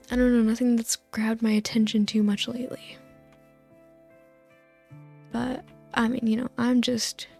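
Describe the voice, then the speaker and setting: Soft-voiced woman rambling gently. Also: a little high, no room sound. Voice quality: soft voice, drifting into whispers. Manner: soft, meandering, loses her train of thought. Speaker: gaming video host. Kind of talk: soft-spoken rambling over gameplay, for sleep.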